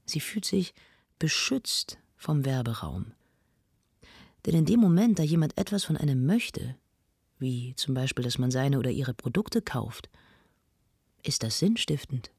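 An actor playing a measured, analytical arts presenter narrating a scripted radio feature with precision. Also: mid-range voice, sing-song, creaky, darkish tone, slightly rough, no room sound, studio quality, heavily acted. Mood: analytical, absorbed. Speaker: radio host.

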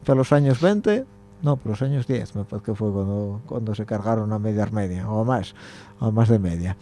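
A man's voice: lowish voice, expressive, normal speed, warm tone, slight background noise. A young man, hosting a casual radio chat, rambling, laughing often and trailing off mid-sentence. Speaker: radio show host.

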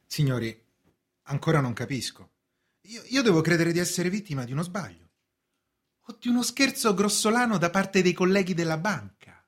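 Give the part of an indignant thirty-year-old clerk played by a male actor in a radio play. His voice is fairly high and swings widely in pitch. He is bewildered and fussy, protesting in exclamations and questions. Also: animated, moderate pace. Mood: baffled protest. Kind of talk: theatrical radio drama acting.

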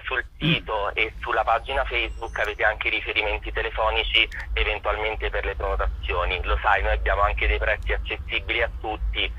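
Man, radio guest promoting an event, lowish pitch, husky, dull telephone sound. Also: level tone, brisk, few pauses. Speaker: artistic direction consultant, interview guest. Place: phone call into a radio show. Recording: dull lo-fi phone line.

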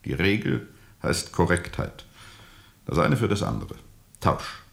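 An actor playing a weary, bitterly ironic steamboat captain in a radio play, speaking deliberately and sardonically with weighty pauses. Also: male voice, deep voice, very animated, creaky, full character voice. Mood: sardonic. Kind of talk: radio play acting, testimony under questioning.

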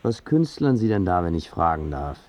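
A man's voice: low-pitched, sing-song, moderate pace, darkish tone. An actor playing a gleeful, mocking policeman in a German radio play. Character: mocking, gleeful male policeman making an arrest.

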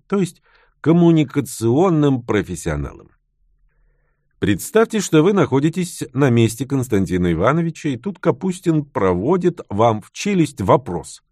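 A man reading a scripted self-help text, with lively, acted-out delivery of the quoted retorts. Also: mid-range voice, no echo, clean studio sound. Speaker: narrator. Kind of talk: scripted book narration.

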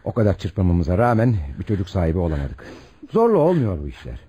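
Deep, animated protective family man. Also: fast, breathy edge, lo-fi sound, full character voice. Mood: warm, insistent. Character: protective, kindly older-brother family man. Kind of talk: radio drama acting.

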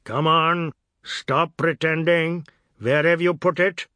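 A man voicing an angry, drunken middle-aged man who accuses and threatens loudly. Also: fairly high pitch, steady tone, slow, no echo, pitched above the performer's own voice. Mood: accusing. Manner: loud, threatening.

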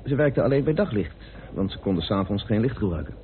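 A narrow, tinny old radio recording carries a well-informed parliamentarian playing detective. His delivery is measured and deliberate, with a fairly low voice that moves expressively as he lays out his reasoning. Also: very animated. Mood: explaining, assured. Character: composed, well-informed male British parliamentarian turned amateur sleuth. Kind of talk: Dutch radio play acting.

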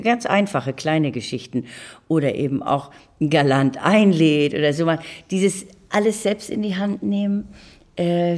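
A woman's voice: low-pitched, darkish tone. An older woman giving reflective, conversational interview answers, speaking emphatically with opinions and repeated words. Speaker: interview guest.

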